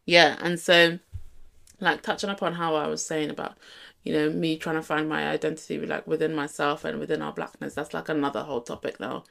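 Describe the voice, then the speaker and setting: Young London woman, low voice, thoughtful podcast co-host. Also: flat delivery, fairly quick, creaky, fairly bright tone. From England. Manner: reflective, earnest, many "like" fillers. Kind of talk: casual chat.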